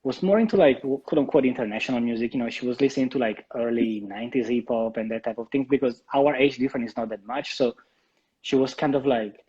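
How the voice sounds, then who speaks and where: A man in his thirties from Italy with an Italian accent, speaking English as a guest in a live interview, relaxed and hesitant with many ums and restarts. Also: medium pitch, fast talker, husky, dull audio. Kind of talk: casual interview, live stream.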